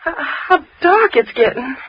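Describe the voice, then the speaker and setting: An actress playing a perky, excitable, easily frightened young woman in 1930s radio drama style, gushing and slangy. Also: extremely high pitch, normal speed, highs cut off. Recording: noisy old 1930s radio recording.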